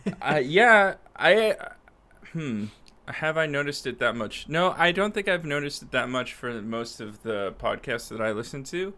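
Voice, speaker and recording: A man's voice: fairly high pitch, sing-song, normal speed, bright, forceful tone. A man co-hosting a casual podcast, speaking bluntly and sardonically into a close desk microphone. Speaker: podcast co-host. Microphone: close USB desk microphone on an arm.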